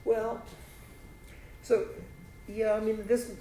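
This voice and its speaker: Soft-toned Polish-native professor, roomy hall. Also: male voice, high voice, little pitch movement, drawn-out words, slight background noise. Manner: thinks aloud, restarts phrases, frequent "right?". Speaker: philosophy professor. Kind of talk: answering audience questions after a lecture.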